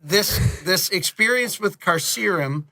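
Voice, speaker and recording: A man with a slightly high, forward, bright voice speaks as a guest in a casual interview. He rambles and restarts with animated, joking energy. The sound is dry and free of room echo. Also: slow. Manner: animated, rambling, jokey, self-interrupting, acts out quotes; casually profane. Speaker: interview guest, audio drama director and producer.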